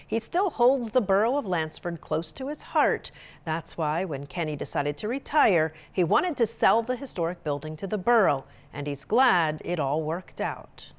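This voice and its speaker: A woman's voice: on the low side, expressive, moderate pace. A woman reporter reading scripted narration for local news stories.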